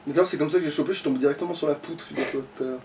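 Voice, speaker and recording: Gamer grumbling and swearing over a game, talks really quick, sounds off mic. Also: mid-range voice, distant mic, noisy background. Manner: exasperated, foul-mouthed grumbling. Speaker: let's play gamer. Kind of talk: casual, sweary gameplay commentary.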